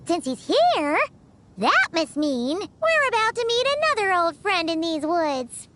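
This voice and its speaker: A woman voicing a tiny, chatty, childlike fairy companion in a video game, squeaky and bubbly. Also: very animated, unhurried, fairly bright tone, a little hiss or hum, full character voice. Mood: chatty, eager. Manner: bubbly, exclamatory, refers to herself in third person. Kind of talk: animated video game character acting.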